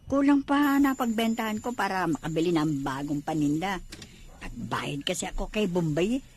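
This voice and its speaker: Nosy filipina neighbor storekeeper, husky, swoops up and down, complaining, radio drama. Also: female voice, fairly low pitch, lively intonation, normal speed, darkish tone. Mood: coaxing. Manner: exclamatory, complaining. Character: nosy, grumbling woman shopkeeper from the neighborhood. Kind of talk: radio drama acting in Tagalog.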